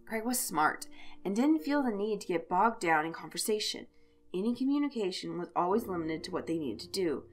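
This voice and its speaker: A woman reading a story aloud as an expressive narrator, telling it in character-driven prose. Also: medium pitch, a little fast. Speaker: fan fiction narrator. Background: background music.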